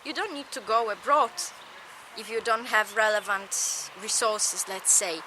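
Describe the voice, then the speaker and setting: A woman with a Polish accent, a native Polish speaker, giving a recorded lecture in slow, measured, short phrases. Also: mid-range voice, sharp tone, slight background noise, rough recording.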